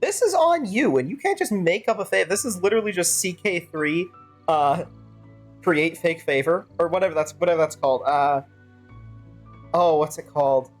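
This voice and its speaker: A woman's voice: fairly low pitch, animated, moderate pace, faint music behind. A woman streaming a game, giving casual, wry and sardonic live commentary.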